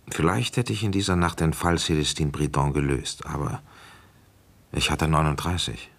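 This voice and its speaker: Male police inspector for radio drama: very low pitch, reflective, methodical, measured delivery. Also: a bit bright, exaggerated voice. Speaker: radio drama actor, narrator and lead. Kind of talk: German radio play narration and dialogue.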